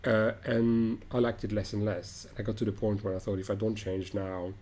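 A man's voice: fairly low pitch, little pitch movement, normal speed, mellow. A middle-aged man from Morocco, an opera director, answers interview questions thoughtfully, with frequent restarts and fillers.

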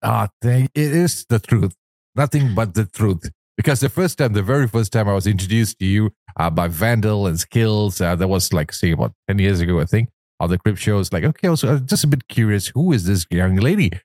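Low-voiced man hosting a casual interview. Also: animated, a little fast, a little mellow, no echo, clean studio sound. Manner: effusive, complimentary. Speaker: talk show host.

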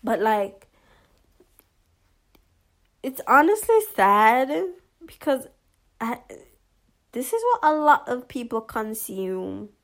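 A woman vlogger giving casual commentary in a monotonous voice, hesitant, with many fillers and restarts. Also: fairly high pitch, takes it slowly, long pauses.

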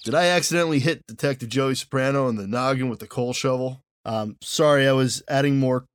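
A woman voicing an awkward, sheepish crewmate with a hesitant, deadpan manner. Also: a bass voice, a little fast, clear, resonant tone, no room sound, studio quality.

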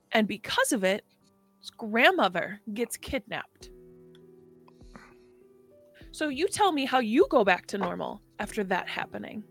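A woman playing a defensive, defiant young mechanic in a tabletop game, explaining in a halting, insistent way. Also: fairly high pitch, no echo, exaggerated voice. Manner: halting, insistent, self-justifying.

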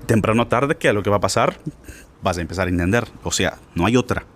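Animated male teacher. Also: fairly low pitch, quick pace. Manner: informal, insistent, slangy, addresses listener directly. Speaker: Spanish teacher for learners. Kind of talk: casual spoken lesson.

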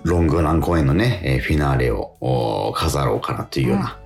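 Male radio co-host, low voice with lively swings, halting anecdotal chat, music underneath. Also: gravelly.

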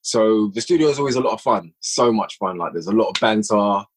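A man's voice: lowish voice, expressive, brisk, slight background noise. A young man, a podcast guest who writes and directs audio dramas, talking casually and eagerly about his process. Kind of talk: casual conversation.

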